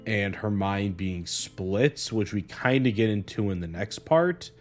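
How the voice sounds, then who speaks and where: A man narrating a scripted video essay in a measured, earnest way. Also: on the low side. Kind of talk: scripted analytical narration of a horror series. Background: guitar music.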